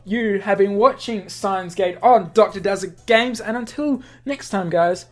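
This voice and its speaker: A man's voice: high-pitched, unhurried. Male gaming host over music. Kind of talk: reading a visual novel aloud with casual asides.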